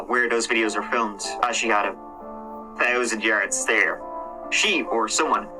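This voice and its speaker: A man's voice: mid-range voice, rough recording. A man casually retelling a true crime case in a conversational way, with hedges and fillers. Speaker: true crime reaction host.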